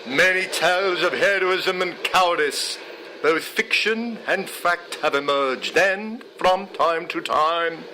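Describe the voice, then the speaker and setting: A man reading poetry aloud in a solemn, measured, dramatic way. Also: fairly high pitch, noisy background. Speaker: poetry reader. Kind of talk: expressive poetry recitation. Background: music.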